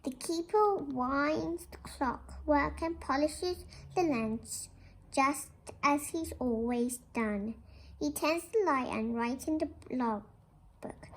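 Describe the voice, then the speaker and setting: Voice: high voice, slow, creaky, rough recording. A child presenter reading a picture book aloud expressively, with chatty asides to young listeners. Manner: expressive, sing-song repeated greetings, addresses young listeners.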